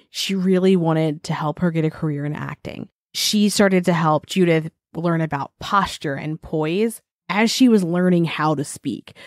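A woman in her thirties co-hosting a true crime podcast, her voice raspy and deeper while she gets over the flu, chatty with sympathetic asides. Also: no room sound, studio quality. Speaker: podcast co-host.

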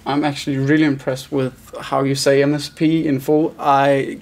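A man's voice: medium pitch, completely flat delivery, normal speed, darkish tone. A man in his thirties hosting a casual question-and-answer interview. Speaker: host, interviewer.

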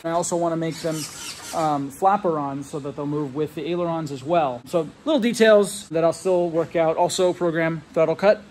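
A man in his thirties with a slightly high voice talks into a phone camera held close. He rambles easily with fillers and restarts while explaining technical details, and a faint hum of room ambience sits in a big metal hangar behind him. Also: normal speed, a little mellow. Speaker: RC plane hobbyist vlogger. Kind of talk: casual walkthrough vlog. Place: large metal hangar, indoors. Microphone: handheld phone camera held close.

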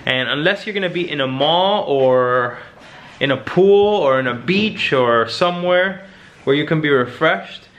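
A man's voice: a little high, animated, a little slow, a bit bright, muffled recording. A man in his thirties talking casually to a handheld camera while travelling, chatty and upbeat. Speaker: travel vlogger. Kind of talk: casual vlog talk to camera. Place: city streets, car and apartment while travelling. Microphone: handheld camera at arm's length.

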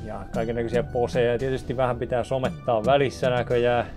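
A man's voice: medium pitch, flat delivery. Chatty man over background music. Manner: chatty, rambling, with many restarts. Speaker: video maker showing a set build. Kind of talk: casual DIY vlog talk. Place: small indoor office studio. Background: music playing under the talk.

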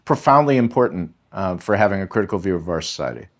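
A man's voice: low-pitched, lively intonation, fast talker. A man speaking as a history professor in an interview, explaining in an emphatic, articulate, scholarly way. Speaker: history professor, podcast guest.

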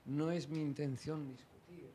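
A man's voice: fairly high pitch, steady tone, a little slow, mellow, slight background noise. A man from interviewing a guest, hesitant with many fillers and tag questions. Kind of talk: conversational interview.

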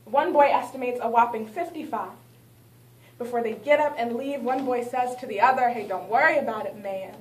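A woman's voice: fairly high pitch, moderate pace, echoey room. A young woman performing spoken word poetry, reciting dramatically with rhythmic refrains, recorded by a distant camera in a small gallery. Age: young adult. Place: small art gallery, indoors. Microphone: far camera, no mic.

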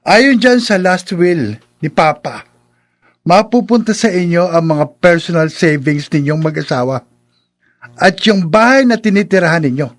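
A greedy illegitimate son in a Filipino radio drama. His high-pitched voice coaxes with false politeness, sly and calculating beneath the deference. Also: lively intonation, unhurried, no echo. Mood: smug, firm. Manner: polite coaxing with stammered repetitions.

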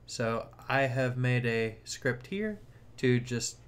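A man's voice: medium pitch, lively intonation, slow pace, smooth. A man narrating a casual tech tutorial, explaining things informally step by step.

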